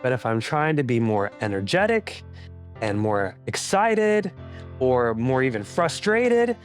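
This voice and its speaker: A man speaks with a lively, swooping voice and often breaks into short vocal demonstrations. He is a working singer talking in an interview. A soft guitar plays faintly underneath. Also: mid-range voice, unhurried. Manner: animated, demonstrates with sung sounds. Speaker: professional singer. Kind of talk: interview talk.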